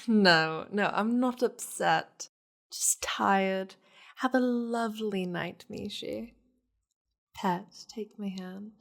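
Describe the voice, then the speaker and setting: A woman voicing a seductive, possessive demon lady in an ASMR roleplay, languid and teasing, slipping into breathy half-whispers. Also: medium pitch, takes it slowly, fairly bright tone. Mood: cool, commanding. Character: seductive, possessive powerful demon lady doting on her pet.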